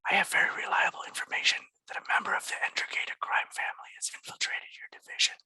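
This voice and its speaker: A man acts out a frightened secret informant in a crime story read aloud. The voice is a hushed, breathless-sounding whisper, urgent and secretive, kept dry and close. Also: quick pace, dry recording. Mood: fearful, urgent.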